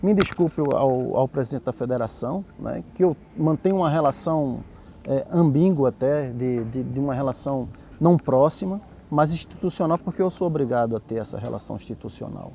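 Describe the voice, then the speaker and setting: A man's voice: medium pitch, expressive, a little fast, gravelly, warm tone, slight background noise. A middle-aged Brazilian man with a Brazilian Portuguese accent, a capoeira master giving a reflective, argumentative commentary that keeps seeking agreement. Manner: reflective, argumentative, with frequent "né?" tags. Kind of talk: spoken commentary to camera.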